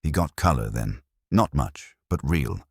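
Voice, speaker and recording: A man's voice: extremely low pitch, animated, unhurried, vocal fry, a little mellow, husky, dry recording, clean studio sound. A man narrating a first-person detective mystery story from a script. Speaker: audiobook narrator.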